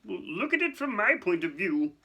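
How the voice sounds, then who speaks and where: A man performs a portly government minister from a storybook. His voice is raised and bright, with clipped, fussy phrases and anxious self-importance. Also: sits high, big pitch swings, moderate pace, vocal fry, husky, pitched above the performer's own voice, full character voice. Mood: uncomfortable.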